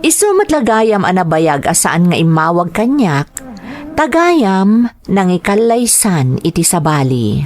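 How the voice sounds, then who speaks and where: A woman's voice: lowish voice, very animated, unhurried, darkish tone. A woman playing a devoted, hopeful grown daughter with an Ilocano accent in a family audio drama. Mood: hurt, sorrowful.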